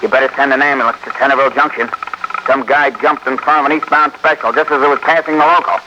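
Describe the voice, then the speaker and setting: A brisk male station agent played by a man in an old radio drama. He talks bluntly and urgently in a medium-pitched voice. The sound is muffled, with clear noise. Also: little pitch movement, natural voice. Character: brisk small-town railroad station agent. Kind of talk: 1950s radio drama acting. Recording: noisy, muffled 1950s radio recording.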